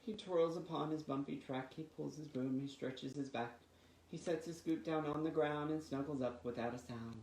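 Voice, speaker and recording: A man hosting storytime for young children, with an expressive, sing-song delivery. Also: fairly high pitch, moderate pace. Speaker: children's library storytime host. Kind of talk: reading picture books and leading finger rhymes. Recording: roomy echo with faint background noise.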